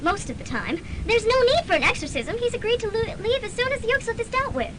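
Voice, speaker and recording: A woman's voice: lively intonation, fast. An actress playing a sweet, polite, girlish young woman who is cheerfully ruthless. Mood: earnest. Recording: faintly noisy student radio recording, rumble.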